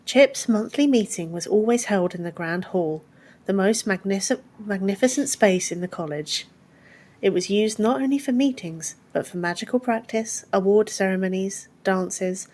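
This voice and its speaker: A woman's voice: medium pitch, darkish tone. A woman reading scripted fantasy fiction as an audiobook narrator.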